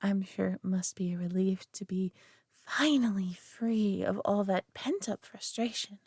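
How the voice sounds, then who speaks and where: A woman voicing a possessive, doting alien who coos over her pet human in an intimate roleplay audio drama, coddling and softly condescending. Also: mid-range voice, measured pace, slightly rough, dry recording, studio quality. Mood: soothing, possessive.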